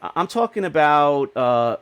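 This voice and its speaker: Casual male podcast host, higher-pitched and dry. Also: big pitch swings, unhurried, a bit bright, clean tone, dry recording. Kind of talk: casual panel chat.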